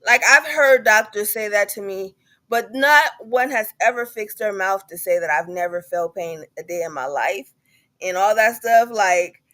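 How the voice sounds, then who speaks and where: A woman's voice: mid-range voice, moderate pace, fairly bright tone. A woman, speaking candidly and emphatically as a patient advocate in a panel discussion, repeating points for stress. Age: 30s.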